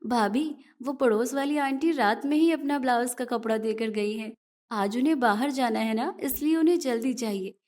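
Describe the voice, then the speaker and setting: A woman's voice: on the high side, moderate pace, a little mellow, close to an everyday voice. A woman playing an earnest, polite young seamstress in a narrated moral story. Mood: pleading. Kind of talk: dramatized moral story acting.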